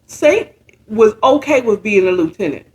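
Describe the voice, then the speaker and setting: A woman informally retelling a story in a halting, conversational way, often checking in with her listeners. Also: medium pitch, lively intonation, darkish tone, poor audio. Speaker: storyteller retelling a book chapter.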